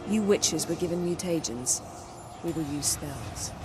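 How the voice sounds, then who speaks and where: Over clear background music, a commanding, weary sorceress from a fantasy video game. Her voice sits somewhat low, and she words everything formally and exactly, like one used to being obeyed. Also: lively intonation, a little slow. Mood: grave, composed. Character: stern, controlled sorceress tending a dangerous spell, weary. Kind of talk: dramatic video game acting.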